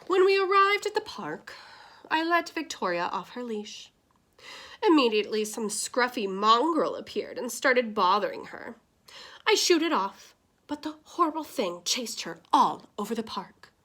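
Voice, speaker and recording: Woman reading as a haughty, bossy mother, lively pitch. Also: a little slow, full character voice. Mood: haughty, annoyed. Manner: haughty, commanding. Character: snooty, bossy upper-class mother, easily offended.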